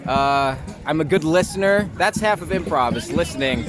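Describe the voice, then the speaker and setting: A man's voice: a little high, expressive, moderate pace. A young man chatting casually and jokingly in a slangy, informal street interview. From Long Island, New York, United States. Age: young adult. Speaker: interviewee, actor and improviser. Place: outdoors in a city street. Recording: noisy outdoor city street with traffic.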